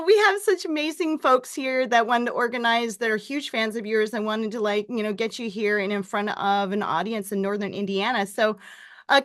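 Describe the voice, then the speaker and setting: A woman's voice: fairly high pitch, fairly bright tone. A woman hosting an upbeat interview, bubbly and enthusiastic with lots of exclamations. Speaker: interview host.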